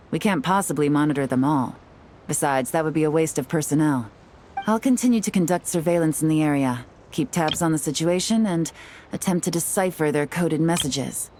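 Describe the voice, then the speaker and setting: A woman's voice: sits low, a little mellow, slight background noise, natural voice. A woman playing a shrewd, confident intelligence operative in a video game, composed and calculating as she gives briefings. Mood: calm, calculating. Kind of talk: video game character acting. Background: faint game music.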